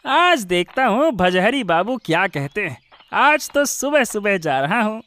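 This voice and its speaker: An actor playing an earnest village cowherd and milkman in an animated moral story. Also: high voice, a little slow, dry recording, heavily acted. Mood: cheerful. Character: earnest village cowherd, a male servant who delivers milk.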